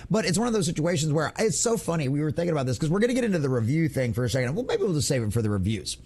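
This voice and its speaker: A man's voice: a little high, very animated, racing, a little mellow, breathy edge. A man hosting an online gaming news show, giving opinionated commentary in an emphatic, theatrical, mocking way.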